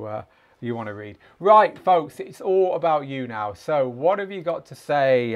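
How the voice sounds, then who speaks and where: A man's voice: medium pitch, vocal fry. A man in his forties hosting a live chat stream from a small home studio, answering questions with a chatty, friendly manner and plenty of "uh" fillers. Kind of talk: live-stream Q&A, casual teaching. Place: small home studio with speaker and acoustic panels.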